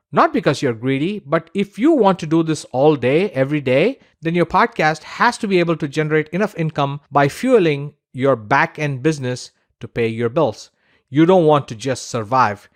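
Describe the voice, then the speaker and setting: Male course instructor, slightly high voice. Scripted promo narration, persuasive, direct address, stressed lists.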